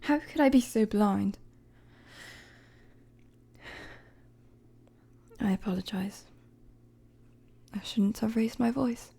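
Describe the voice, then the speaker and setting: A woman playing a weary, guarded young queen in a dramatic audio roleplay, with a soft, breathy voice and measured, formal, hesitant phrasing. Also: a little high, exaggerated voice. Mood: weary, apologetic. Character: weary young queen, regal, guarded, firm with her friend.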